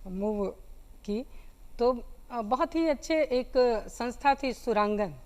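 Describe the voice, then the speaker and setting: High-voiced, slow-talking Indian woman. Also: lively intonation, darkish tone. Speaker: folk singer, guest. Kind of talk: conversational interview answers.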